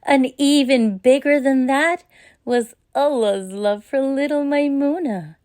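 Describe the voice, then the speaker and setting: Slow, mellow woman storyteller. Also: high voice, dry recording, clean studio sound. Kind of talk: scripted children's story narration.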